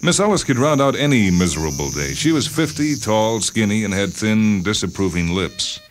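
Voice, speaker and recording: A man reading a short story as a radio host, in measured, expressive dramatic narration. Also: fairly low pitch. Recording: music under the voice. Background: music.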